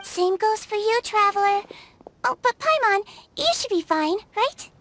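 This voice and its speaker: A woman voicing a small, kindly non-human head nurse in a video game, high, sweet and gentle. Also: lively intonation, a little slow, a little hiss or hum, exaggerated voice. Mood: caring. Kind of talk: video game cutscene dialogue.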